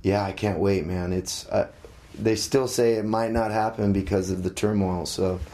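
A middle-aged musician being interviewed, talking casually with many halting restarts. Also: male voice, on the low side, steady tone, dark tone.

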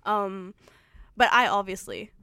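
A woman's voice: mid-range voice, fairly even intonation, takes it slowly, pushed and bright, clean tone, no room sound, clean studio sound. A young woman from Argentina hosting a casual radio chat, talking with lots of likes and ums and laughing often. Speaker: radio host. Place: radio studio.

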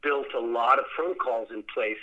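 A man's voice: medium pitch, moderate pace, sharp tone. A man answering interview questions as a theater director, speaking in a thoughtful, rambling way and repeating words as he searches for the next one. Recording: muffled radio or phone-call sound.

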